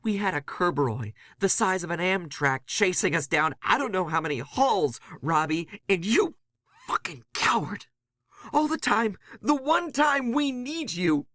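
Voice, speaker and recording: A man voicing a furious, foul-mouthed woman fighter who feels betrayed, shouting accusations in a horror audio drama. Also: medium pitch, expressive, measured pace, no echo, studio quality, voice pushed up. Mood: accusing. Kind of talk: dramatic audio fiction monologue.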